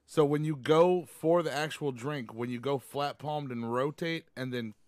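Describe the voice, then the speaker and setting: A man's voice: medium pitch, a little slow, a little mellow, no room sound. A man co-hosting a podcast who explains things step by step and drops dry jokes in casual chat with friends. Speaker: podcast co-host.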